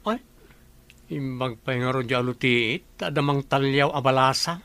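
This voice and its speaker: A man's voice: medium pitch, normal speed, fairly bright tone, dry recording, lightly acted. A man with an Ilocano accent playing a nosy, teasing office colleague in a radio drama. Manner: teasing, inquisitive.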